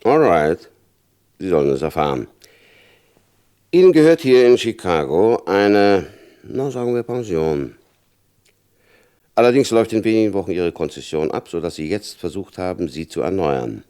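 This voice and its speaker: An actor playing a hard, shrewd FBI chief in a German radio crime drama, condescending and insinuating, with a gravelly voice. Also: male voice, low-pitched, lively intonation, moderate pace, long pauses, dark tone. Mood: condescending, threatening.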